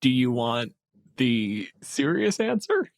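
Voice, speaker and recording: A man's voice: medium pitch, sing-song, slow, creaky, no echo, clean studio sound. A man chatting casually as a podcast co-host, explaining monster lore in a wry way with the odd swear word.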